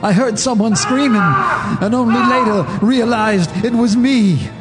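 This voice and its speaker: An actor narrating a radio horror drama as a haunted neighbor, in a grave, measured storytelling manner. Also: male voice, extremely high pitch, loud music behind the voice. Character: haunted male neighbor telling a horror tale.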